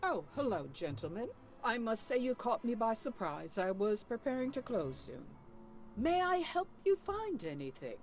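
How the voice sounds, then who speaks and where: A woman's voice: mid-range voice, a little hiss or hum. A woman playing an unflappable, proper older widowed shopkeeper in an adventure game, courteous and formal but guarded. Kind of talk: voiced adventure game dialogue.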